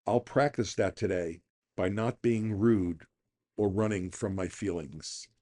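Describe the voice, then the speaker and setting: A man's voice: sits low, a little slow, warm tone. A middle-aged or older man reading a scripted daily reflection for a recovery podcast.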